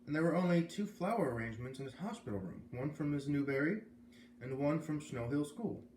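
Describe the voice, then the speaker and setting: A man's voice: a little high, lively intonation, brisk, a little mellow. A man with an American accent, hosting a read-aloud of a children's novel as a storyteller. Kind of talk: reading a children's novel aloud, with casual asides. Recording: roomy echo, faint background noise.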